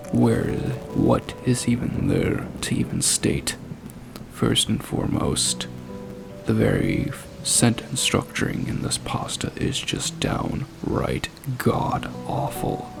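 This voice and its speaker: Male narrator-reviewer, very heavy vocal fry, unhurried scornful delivery, music underneath. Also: mid-range voice. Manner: deliberate, foul-mouthed, scornful. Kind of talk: dramatic reading and ranting review. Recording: music playing behind the voice. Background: background music.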